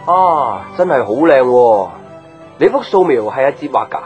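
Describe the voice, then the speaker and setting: An actor playing an easygoing, chatty young man in a radio drama, casual and expressive. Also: mid-range voice, normal speed, dull audio, close to an everyday voice. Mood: admiring. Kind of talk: Cantonese radio drama dialogue. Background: background music.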